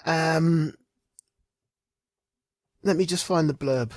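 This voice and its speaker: A man's voice: fairly high pitch, very slow, no room sound, clean studio sound. A man hosting a podcast in casual chat, enthusiastic and rambling as he searches aloud for his words. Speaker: podcast host. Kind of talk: casual chat about comics.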